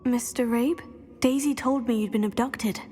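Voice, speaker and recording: Casting: actress as determined schoolgirl witch, British, slightly high composed voice, game dialogue over soft music. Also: fairly even intonation, normal speed, close to an everyday voice. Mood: concerned. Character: earnest, composed teenage girl student witch, determined investigator. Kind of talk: video game voice acting, scripted dialogue.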